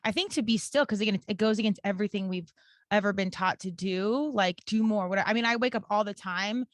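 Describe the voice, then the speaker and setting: Fast-talking woman in her forties. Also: medium pitch, dry recording. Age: 40s. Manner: chatty, many "like" fillers, earnest. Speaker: podcast host. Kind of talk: casual podcast conversation.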